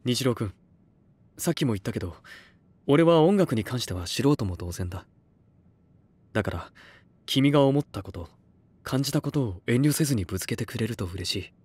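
An actor playing an earnest, gentle music label head in an anime voice drama, speaking sincerely and encouragingly. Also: male voice, mid-range voice, lively intonation, moderate pace, pauses often, breathy edge, dry recording. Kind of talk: Japanese anime voice drama acting.

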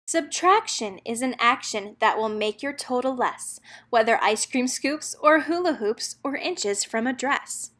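A woman's voice: a little high, animated, unhurried, few pauses, a bit bright. A woman narrator reading a children's picture book aloud, expressively and in a rhythmic lilt of rhyming verse.

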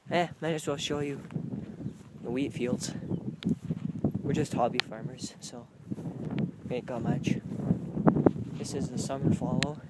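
A man's voice: medium pitch, fairly even intonation, a little slow, long pauses. A young man from Canada giving a casual, folksy, rambling handheld video tour outdoors on a farm. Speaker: vlogger and hobby farmer. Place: outdoors on rural farmland in rain. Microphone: handheld phone or camera mic. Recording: loud outdoor noise, handheld camera.

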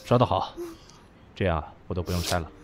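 A man's voice: sits low, fast talker, over music, natural voice. A man acting a caring, protective young man in an amateur Chinese audio drama. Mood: soothing. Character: caring young man, protective older-brother type, worried but doting.

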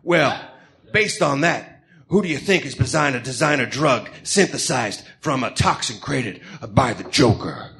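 A man's voice: medium pitch, animated, a little slow, fairly bright tone. A man voicing a grandiose, theatrical Greek ex-villain tycoon in a comedic radio drama. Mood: righteous, persuasive. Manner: pompous, theatrical. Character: flamboyant Greek ex-villain tycoon, grandiose, smooth self-justifying showman.

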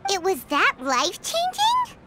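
A woman playing a tiny, childlike, cheeky fairy companion in a video game, with a squeaky voice. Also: huge pitch swings, a little slow, noisy background, exaggerated voice. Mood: grudging, curious. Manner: squeaky, speaks of self in third person.

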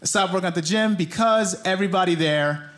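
An American man in his thirties from New Jersey performing a conversational stand-up routine, with deliberate pauses and acted-out quotes. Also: high-pitched, fairly bright tone. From New Jersey, United States. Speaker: stand-up comedian. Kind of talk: live stand-up comedy routine. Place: theater stage in front of an audience. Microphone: handheld microphone. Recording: live stage mic with audience noise.

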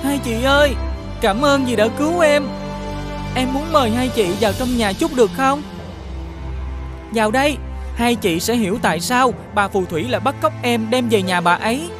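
A woman's voice: a little high, moderate pace, music in the background, close to an everyday voice. A woman playing a grateful, earnest little boy who explains things in a scripted children's fairy tale. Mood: grateful, welcoming.

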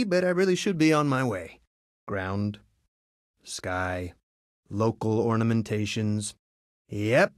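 An actor playing a cocky, friendly young resistance pilot in a video game, breezy and wisecracking. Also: male voice, mid-range voice, dry recording, clean studio sound. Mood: bored, restless. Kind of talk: video game character voice clips.

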